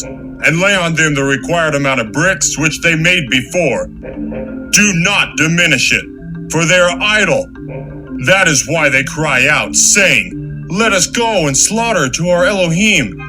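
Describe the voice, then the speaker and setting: Highish male voice, imperious tyrant king of Egypt acted out, scornful, harsh, biblical audio drama, music behind. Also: animated, measured pace. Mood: angry, commanding. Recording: music under the voice.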